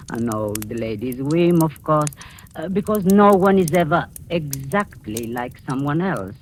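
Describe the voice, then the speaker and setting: A woman's voice: sits low, animated, a little slow. An actress playing a domineering, sinisterly sweet woman of sixty in 1940s radio style, coaxing and commanding. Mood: quietly sinister. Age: 60s. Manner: coaxing, commanding, with soft knowing chuckles. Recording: noisy old 1940s radio recording.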